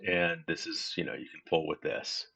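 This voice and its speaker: A man talking through his game setup in a relaxed walkthrough, explaining step by step and correcting himself as he goes. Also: a bass voice, creaky. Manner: unhurried and explanatory, with frequent self-corrections. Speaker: gaming tutorial host. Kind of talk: casual screen-recorded game walkthrough.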